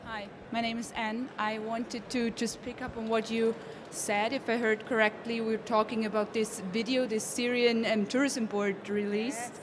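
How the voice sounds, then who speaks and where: A woman's voice: on the high side, flat delivery, normal speed. A woman putting a spontaneous question from the audience at a panel event. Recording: faint live event noise.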